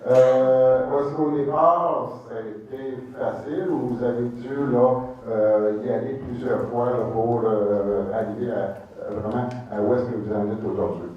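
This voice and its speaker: A man's voice: medium pitch, steady tone, unhurried, few pauses. A man in the audience asking a long, hesitant question full of fillers. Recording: echoey hall, distant, dull sound.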